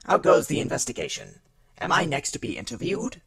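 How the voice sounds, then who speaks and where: A man playing a polite, inquisitive suspect with a creaky voice in an audio drama. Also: medium pitch, wildly swooping pitch, a little fast, a bit bright, full character voice. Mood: smooth, polite.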